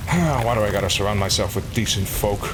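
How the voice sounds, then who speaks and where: A man's voice: on the low side, quick pace, background noise, close to an everyday voice. A man acting as a roguish, exasperated treasure hunter in a fan audio drama, with a wry, grumbling manner. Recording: loud music under the voice.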